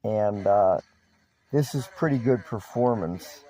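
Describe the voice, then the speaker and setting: A man's voice: lowish voice, takes it slowly, warm tone, poor audio. A man giving a casual, unscripted product review in a matter-of-fact, plain-spoken way.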